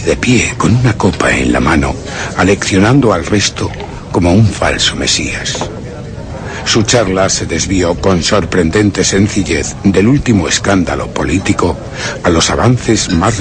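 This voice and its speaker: A man's voice: sits low, noisy background, exaggerated voice. An actor playing an embittered, vengeful Frenchman who secretly plots murder, delivering a brooding, theatrical, contemptuous monologue in a radio play. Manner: brooding, theatrical, deliberate storytelling with contemptuous edge. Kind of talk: dramatic first-person radio play monologue.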